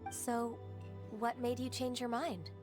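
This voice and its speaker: A gentle, ageless secretary of half-divine blood. Her voice is soft, polite and composed, sitting a touch high. Game music plays steadily behind her. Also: flat delivery, slow. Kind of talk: game cutscene dialogue. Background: game background music.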